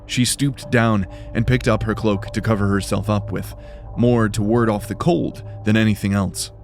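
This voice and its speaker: Male narrator, lowish pitch, dramatic fantasy storytelling, soft music behind. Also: fairly even intonation, moderate pace, gravelly.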